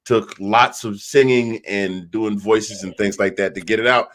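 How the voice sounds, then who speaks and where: A man's voice: on the low side, steady tone, measured pace, a bit bright. A man hosting a casual football panel show, joking and self-deprecating, with a slight stutter. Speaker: show host. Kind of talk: casual sports panel chat.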